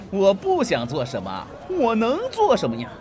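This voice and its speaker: An actor playing a lecherous, leering street thug in a Chinese martial-arts audio drama, oily and exaggerated. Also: male voice, very high voice, background noise, full character voice. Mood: mock-soothing.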